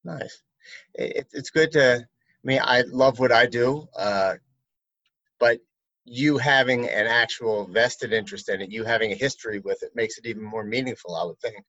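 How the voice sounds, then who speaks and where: A man who works as an attorney, asking a colleague questions in a relaxed, rambling way with many fillers. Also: mid-range voice, normal speed, dry recording, muffled recording. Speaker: attorney and interviewer. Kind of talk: conversational chat.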